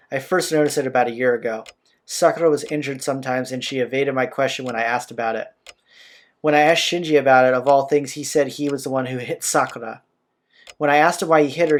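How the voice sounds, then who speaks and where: A man hosting a game playthrough, informally commenting and reading the story text aloud in a plain way. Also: mid-range voice. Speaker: playthrough host. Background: game soundtrack music.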